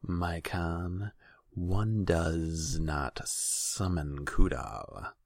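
A man voicing a sly serpent-folk advisor to a khan in a fantasy story, hissing, measured and deferential. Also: low-pitched, vocal fry, darkish tone, exaggerated voice. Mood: smooth, deferential.

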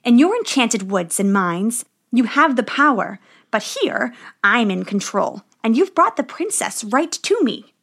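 A woman's voice: fairly high pitch, sing-song, a little slow, vocal fry, few pauses, fairly bright tone, heavily acted. Woman voicing a gloating wicked storybook witch. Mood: smug menace. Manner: gloating, commanding. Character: wicked witch, vain, menacing, power-hungry, posing as sweet old woman. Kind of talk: fairy tale storytelling.

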